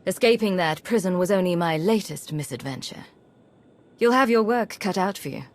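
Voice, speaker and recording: An actress playing a cool, capable female commander and agent in a sci-fi game, measured and understated. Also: on the low side, lively intonation, close to an everyday voice. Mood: cool, assured.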